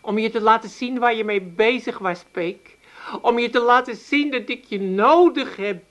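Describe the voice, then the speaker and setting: A self-assured wife from Amsterdam in a comic radio play, voiced by a woman. Her voice is fairly high, and she speaks calmly and firmly with a teasing edge. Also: moderate pace, close to an everyday voice. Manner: calm, teasing, firm.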